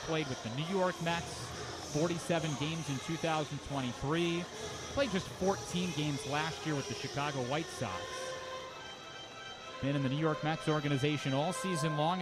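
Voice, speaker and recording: A man calling live baseball on the radio as play-by-play announcer, switching between clipped pitch calls and flowing commentary. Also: low-quality recording. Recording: clear outdoor ballpark noise.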